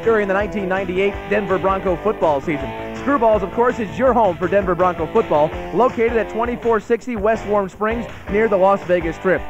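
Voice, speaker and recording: An American man delivers an energetic sports promo in a high voice. Music runs behind him on a slightly muffled radio recording. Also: brisk, hardly any pauses. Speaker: radio ad announcer.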